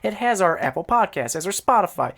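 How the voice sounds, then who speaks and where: A man's voice: sits high, big pitch swings, quick pace, creaky, a bit bright. A man co-hosting a podcast, bantering casually and joking with some swearing. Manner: profane, joking. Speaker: podcast co-host.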